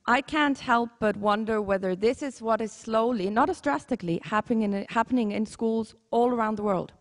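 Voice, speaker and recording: A woman's voice: a little high, moderate pace. A woman from Iceland with an Icelandic accent, a teacher giving an earnest, conversational talk and correcting herself as she goes. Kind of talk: conference talk. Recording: long hall reverb.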